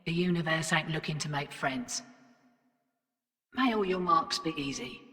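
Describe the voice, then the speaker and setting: An actress playing a brash, wry, streetwise space-colony fixer in a video game, with a laconic, cocky, slangy drawl. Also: female voice, sits low, unhurried, sharp tone, slightly rough, big-room sound, recorded from a distance, exaggerated voice. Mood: wry, knowing. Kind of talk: scripted video game character dialogue.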